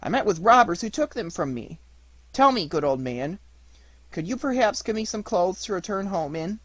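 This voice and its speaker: A man voicing an eager, fibbing young wooden puppet boy in a storybook, earnest and excitable. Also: sits high, normal speed, dry recording, voice pushed up. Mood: pleading.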